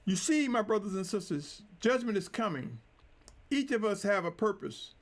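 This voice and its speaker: Male preacher, high-pitched, deliberate and emphatic sermon delivery. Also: very animated.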